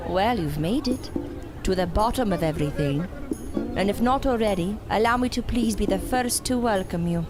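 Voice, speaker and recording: A woman playing a contemptuous, cryptic circus mystic in an audio drama, speaking in a deliberate, theatrical and cutting way. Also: medium pitch, music in the background, lightly acted. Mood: haughty, needling.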